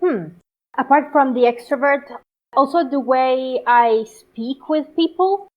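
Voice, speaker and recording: A woman with an Italian accent, a translator being interviewed, talking in halting bursts with many restarts. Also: high-pitched. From Italy. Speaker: guest translator. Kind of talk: interview over a call. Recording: dull lo-fi call audio.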